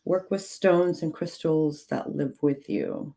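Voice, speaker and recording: A slightly hoarse woman with a low, gravelly voice reads aloud slowly and evenly on a livestream. Her tone is dark and mellow, and she drops in short, dry asides between passages. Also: animated. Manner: slow, measured reading with clipped, dry asides to chat. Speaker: livestream host reading from a witchcraft book. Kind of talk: reading aloud with chat asides.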